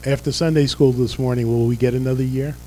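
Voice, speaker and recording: A man's voice: mid-range voice, fairly even intonation, fast talker, mellow, a little hiss or hum. An older man, a pastor, losing his voice, leading a church service with prayer and folksy, joking announcements. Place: church sanctuary.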